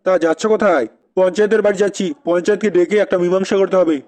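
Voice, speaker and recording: A man's voice: high-pitched, fairly even intonation, rapid-fire, a little mellow. A man voicing outraged, blustering village men in a comedy cartoon, speaking dramatically.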